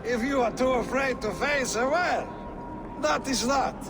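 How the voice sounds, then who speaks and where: A man playing a boisterous, mocking Norse feast reveller who declaims in a video game, over loud ambience. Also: very high voice, measured pace.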